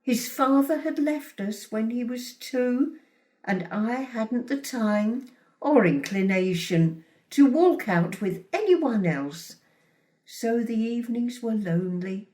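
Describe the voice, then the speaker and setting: A woman performing a dramatic monologue as a middle-aged, possessive and self-righteous mother, indignant and deliberate with emphatic pauses. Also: fairly high pitch, mellow, recorded from a distance. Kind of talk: dramatic monologue, confiding storytelling.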